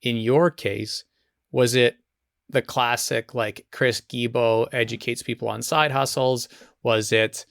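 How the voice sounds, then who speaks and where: A man's voice: mid-range voice, steady tone, measured pace, vocal fry, a bit bright, clear, resonant tone, no room sound, clean studio sound. A man hosting a podcast, asking questions in a loose, rambling way with many fillers. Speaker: podcast host. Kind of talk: casual interview talk.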